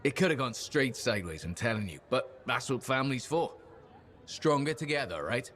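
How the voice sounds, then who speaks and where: Upbeat, easygoing adventurer pilot. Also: male voice, mid-range voice, very animated, moderate pace, fairly bright tone, slight background noise. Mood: upbeat, boastful. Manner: folksy, chatty storyteller. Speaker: video game character actor. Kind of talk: video game dialogue acting.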